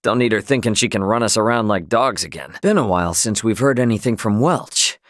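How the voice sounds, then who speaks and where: An actor with an American accent playing a brash, easygoing spacefaring hero in a video game, with a cocky, slangy, joking delivery. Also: male voice, on the low side, lively intonation, brisk, fairly bright tone, dry recording, clean studio sound. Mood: casual, musing. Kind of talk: video game voice lines, battle barks and chatter.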